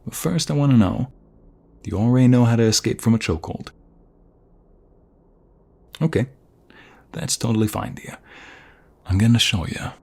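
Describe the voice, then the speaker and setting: A man playing a protective, affectionate mobster fiancé in an intimate ASMR roleplay, with a husky, breathy voice that often drops toward a whisper. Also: medium pitch, expressive, a little mellow. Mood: playful, helpful. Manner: affectionate, teasing, slow and murmuring. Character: protective, tender mobster fiancé soothing his beloved.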